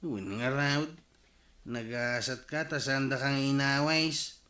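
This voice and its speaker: A man's voice: medium pitch, steady tone, takes it slowly, bright, forceful tone, reverberant. A man playing a tipsy, bickering drinking buddy who grumbles and complains in a comedic radio drama. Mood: aggrieved.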